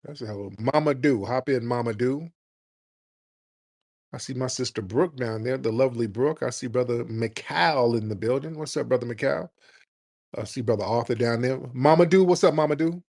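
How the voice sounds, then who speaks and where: A man's voice: medium pitch, normal speed, darkish tone. Combative mocking man hosting call-ins. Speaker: host. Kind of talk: live call-in talk show.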